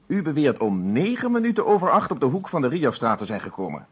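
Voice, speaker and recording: A man's voice: fairly high pitch, very animated, quick pace, husky, muffled recording, exaggerated voice. An actor playing a stern, methodical police inspector in a radio play, speaking formally and insistently as he interrogates. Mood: methodical, pointed.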